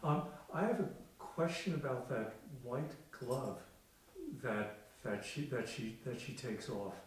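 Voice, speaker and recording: A man speaks from the audience, away from the mic in a roomy space. He asks his question casually and haltingly, with an appreciative tone. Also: medium pitch, expressive, distant mic, slight background noise. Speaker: audience member asking a question. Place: indoor book event, audience seat away from mic.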